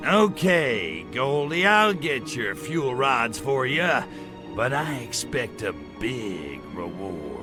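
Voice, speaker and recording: An actor playing a cocky, lecherous alien invader in a video game, with a sardonic, wisecracking drawl. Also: male voice, medium pitch, big pitch swings, slow pace, over music. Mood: flirty, sly. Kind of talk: video game cutscene acting.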